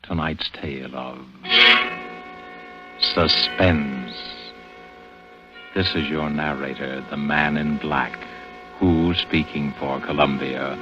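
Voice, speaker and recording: A man's voice: a bass voice, fairly even intonation, slow pace, long pauses. A man giving a solemn, formal scripted sign-off as narrator of a 1940s radio show. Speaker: announcer and narrator. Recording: muffled old radio broadcast recording. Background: loud orchestral music.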